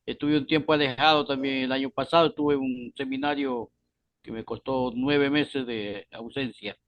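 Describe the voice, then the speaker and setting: A man's voice: mid-range voice, steady tone, normal speed, fairly bright tone. Cheerful man from Ecuador. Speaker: course student. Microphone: video call.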